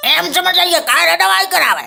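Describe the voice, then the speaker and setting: A woman's voice: extremely high pitch, lively intonation, vocal fry, pushed and bright, soft background music, heavily acted. A woman playing a stubborn, scornful old male village patriarch in a comic cartoon, with an Awadhi accent and indignant, sarcastic scolding. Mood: angry scolding. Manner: indignant, sarcastic scolding with drawn-out rhetorical questions.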